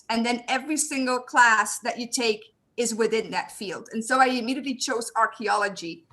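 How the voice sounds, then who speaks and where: A young woman with a Belgian accent talks in a friendly, eager way, dotting her speech with "um" as she introduces herself to a panel audience. Her voice sits a little high and sounds bright and clear. It comes through a video call. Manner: friendly, eager, with frequent "um" fillers. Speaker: panel host. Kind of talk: online panel self-introduction.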